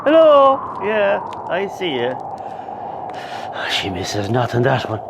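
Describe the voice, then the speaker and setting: A man's voice: fairly high pitch, wildly swooping pitch, takes it slowly, background noise, full character voice. A man playing a grieving older Irish widower in a radio play, wry and rambling, with an Irish accent. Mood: wry, wistful. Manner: rambling, conversational, emotive.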